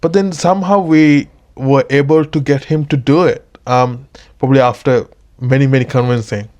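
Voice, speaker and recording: A man from Sri Lanka hosting a casual interview, nodding along with quick agreeing fillers and telling stories in a room at his workplace. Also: mid-range voice, a little mellow. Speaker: podcast host. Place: room at a dental service workplace.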